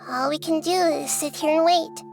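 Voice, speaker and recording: A woman voicing a small, childlike goddess, gentle and wise, speaking in a measured, inquisitive way for a video game. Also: sits high, fairly even intonation. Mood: calm, thoughtful. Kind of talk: anime-style video game acting. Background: music.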